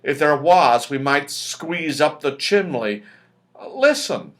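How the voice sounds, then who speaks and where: A man voicing an eager, excitable round-faced magical fellow in a children's storybook, speaking emphatically. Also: on the high side, slow. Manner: emphatic, exclamatory.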